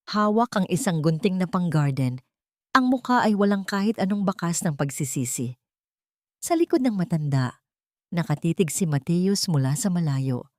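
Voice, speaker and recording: Low-voiced woman narrating dramatically. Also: darkish tone, no room sound, studio quality. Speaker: audiobook narrator. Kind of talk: dramatic scripted story narration.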